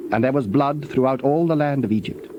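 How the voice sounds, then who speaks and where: A man narrating dramatized scripture in a measured, formal way. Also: medium pitch, dull audio, noisy background.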